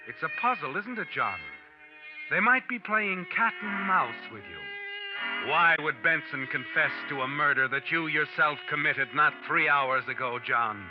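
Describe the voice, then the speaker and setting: A sinister, all-knowing narrator, played by a man speaks in a slightly raised voice and taunts his listener in the second person. It is a dull, muffled old radio recording with loud music beneath him. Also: on the high side, a little slow, close to an everyday voice. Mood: ominous. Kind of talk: 1940s radio drama narration.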